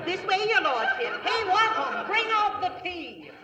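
An actress playing a bossy, folksy, social-climbing American small-town matron in a 1950s radio comedy, gushing and ordering people about. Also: female voice, extremely high pitch, very animated, a little slow, sharp tone, some chatter behind, heavily acted.

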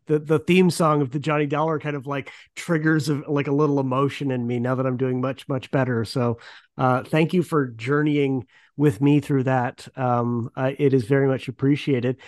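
A man's voice: medium pitch, no echo. Podcast host guy, mid voice, chatty and informal, wry little asides, really dry sound no room. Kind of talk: casual interview chat.

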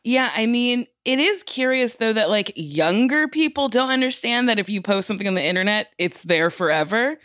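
Higher-voiced woman chatting casually. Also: expressive, normal speed, few pauses, a bit bright, dry recording, clean studio sound. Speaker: podcast host. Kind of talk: casual chat.

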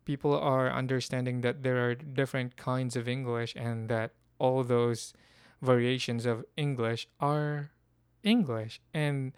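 A young man muses aloud on his podcast in a loose, unscripted way, often stopping and correcting himself mid-sentence. His voice is very smooth and clear, recorded close and dry with no room sound. He sits at an everyday middle pitch. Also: level tone, a little slow, dry recording. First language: not Korean. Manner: thinking aloud, many restarts and self-corrections. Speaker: podcast host. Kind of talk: casual podcast monologue.

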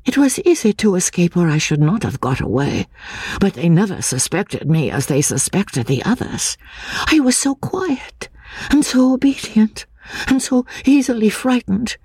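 A woman voicing a timid, confused, childlike young woman, hesitant and breathy, repeating herself anxiously in a classic audiobook. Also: medium pitch, warm tone, no room sound, pitched above the performer's own voice. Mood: vague gratitude. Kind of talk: dramatic audiobook reading of classic literature.

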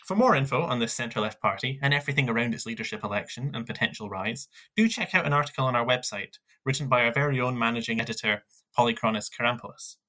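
Man, news co-host, scripted roundup, bright tone, medium pitch. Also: lively intonation, fast, hardly any pauses.

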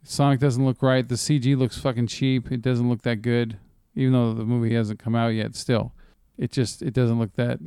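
A man chatting casually as co-host of a movie review show, profane, halting and often repeating himself. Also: medium pitch, flat delivery, dark tone, smooth, no room sound, studio quality. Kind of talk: casual chat, film commentary.

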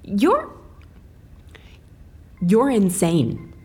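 A woman's voice: mid-range voice, wildly swooping pitch, drawn-out words, a little mellow, slightly rough, full character voice. An actress playing a brash, sceptical woman in an audio drama, blunt and slangy. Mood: incredulous. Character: brash, sceptical woman facing an eccentric client.

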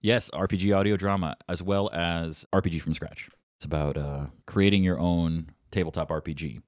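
A man's voice: sits low, fairly even intonation, moderate pace, dry recording, clean studio sound. A man podcaster talking casually about his shows. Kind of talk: casual podcast promo and chat.

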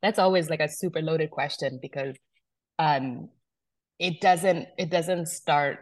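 A woman's voice: low-pitched, a little slow, no room sound. A woman originally from South Sudan, a guest telling stories in casual conversation, chatty with many fillers and restarts. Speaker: wine business founder, guest.

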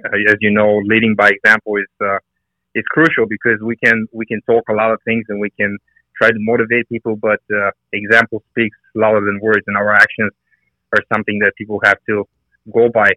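A man's voice: on the low side, monotone, a little fast, pushed and bright, dry recording, low-quality recording. A middle-aged man with a Bulgarian accent, a guest on a podcast interview, talking earnestly with many hesitant fillers. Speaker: guest, fitness and nutrition coach.

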